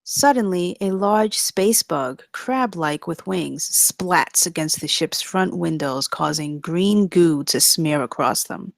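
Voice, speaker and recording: A woman's voice: fairly low pitch, expressive, slow pace, creaky, hardly any pauses, no room sound, clean studio sound. A woman narrating the stage directions of a comedy screenplay at a table read.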